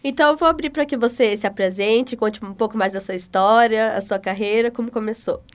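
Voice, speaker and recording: A woman's voice: on the high side, brisk, clean tone. Woman hosting an interview. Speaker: interviewer, host.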